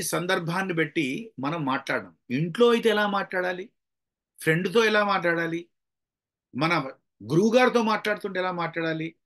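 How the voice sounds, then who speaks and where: A man with a Telugu Indian accent and a slightly high voice speaks as a public speaking trainer. He teaches in a motivational style, stressing key words to demonstrate his points and switching into English phrases as he explains. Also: sharp tone. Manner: demonstrative, varies emphasis to illustrate points.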